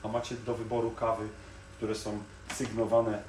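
A man's voice: lowish voice, completely flat delivery, moderate pace, a little mellow. Chatty salesman in an echoey showroom. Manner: chatty, pointing at shelves while talking, small hesitations. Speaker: coffee shop salesman. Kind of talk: casual product presentation to camera. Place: coffee machine showroom, indoors. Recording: echoey showroom with faint room noise.

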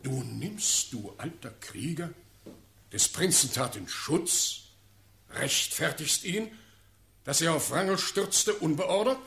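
An actor playing a commanding, shrewd sovereign in a classical verse radio drama, with measured, formal, imperious delivery. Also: male voice, a little high, animated, vocal fry, fairly bright tone, full character voice. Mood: probing. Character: commanding, shrewd ruling Elector of Brandenburg.

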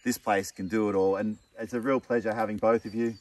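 A man's voice: fairly low pitch, steady tone, brisk. A man in his thirties with an Australian accent, hosting an upbeat outdoor vlog in a chatty, enthusiastic way. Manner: chatty, enthusiastic, uses "mate". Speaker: vlog host. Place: outdoors at a riverside bush camp. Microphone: camera mic from a few metres away. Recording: distant mic, faint outdoor noise.

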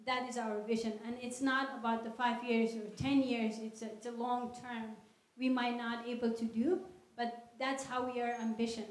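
A woman answering audience questions at a lecture in an earnest, measured way, speaking into a handheld microphone in a large hall. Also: on the high side, flat delivery. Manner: earnest, measured, non-native phrasing. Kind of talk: public Q&A talk. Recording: echoey hall.

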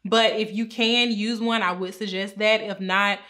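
A woman in her thirties, a pharmacist, giving upbeat, clear career advice straight to camera from a small room at home. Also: medium pitch, fairly even intonation, unhurried, sharp tone. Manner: upbeat, clear, instructive, talks with her hands. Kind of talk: direct-to-camera advice talk. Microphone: camera framed at chest height.

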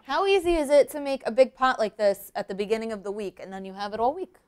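A woman's voice: on the high side, fast talker, clean tone. A woman, casually explaining cooking steps as a show host in a kitchen. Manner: explains step by step in chatty asides. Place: home-style kitchen, indoors.

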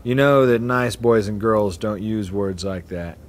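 A man quoting a gentle mother of many children in his own plain reading voice. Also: lowish voice, unhurried, natural voice. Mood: gentle reproach.

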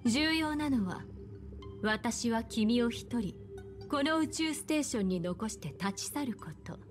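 A composed, mysterious woman from an anime game. Her fairly high voice is slow, deliberate and soothing, gently commanding and seductive. Music plays clearly behind her. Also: lively intonation. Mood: calm, hypnotic. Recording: background music.

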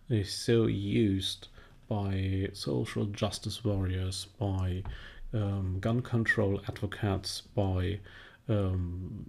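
A man who speaks English as a second language, commenting on a news clip with many halting fillers and restarts. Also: fairly low pitch, darkish tone. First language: not English. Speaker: video commentator. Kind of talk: casual spoken commentary.

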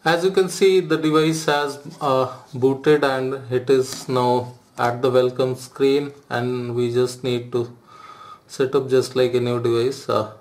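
Male tech host, monotone, halting delivery with many fillers, tutorial walkthrough. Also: mid-range voice. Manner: hesitant, frequent "uh" fillers, flat delivery.

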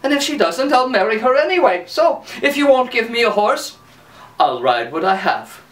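A storyteller voicing Silly Hans, a cheerful, foolish young man who talks in a high, bright sing-song. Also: big pitch swings, normal speed. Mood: eager. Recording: roomy, from a distant mic.

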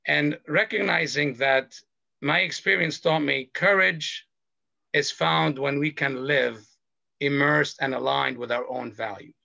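A middle-aged man from Lebanon, a panelist sharing a personal story in a slow, earnest, measured way over a video call. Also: on the high side, gravelly, sharp tone, dry recording. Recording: dull video call audio.

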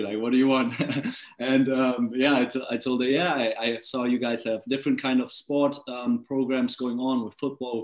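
German man in his twenties, roomy webcam. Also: mid-range voice. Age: 20s. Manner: relaxed, many fillers and restarts. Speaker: guest speaker. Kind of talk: casual video call talk. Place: small indoor room at home. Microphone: laptop webcam on video call.

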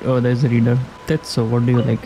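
Very low, mellow woman gamer commenting. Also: little pitch movement, fast, rough recording. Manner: teasing, giggly, reacting aloud to the game. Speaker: game streamer. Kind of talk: casual playthrough commentary. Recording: faint game music behind the voice.

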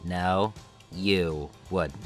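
A woman voicing a grumpy, sarcastic teenage boy in a blunt deadpan for an amateur cartoon dub. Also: very deep voice, drawn-out words, faint music behind, heavily acted. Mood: sardonic.

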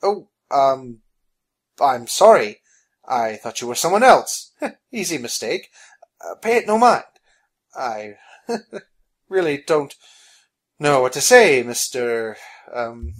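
A narrator voicing a bossy, easily flustered gentleman adventurer in a storybook, pompous and blustering. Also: mid-range voice, sing-song, a little slow, husky, voice pushed up, exaggerated voice. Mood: nervous.

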